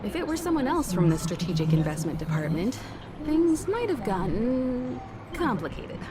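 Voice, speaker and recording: A woman's voice: fairly high pitch, sing-song, moderate pace. A woman voicing a kindly, composed mentor in an anime-style video game. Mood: gentle, composed. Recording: loud background music under the voice.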